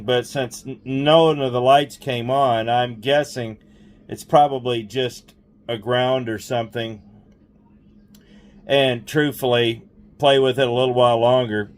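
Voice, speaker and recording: A man with a medium-pitched voice hosts a hands-on repair video. He talks casually while he works, thinking aloud without hurry. His delivery is informal and explanatory, like a running demonstration. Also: steady tone, a little slow. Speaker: host of a radio repair vlog.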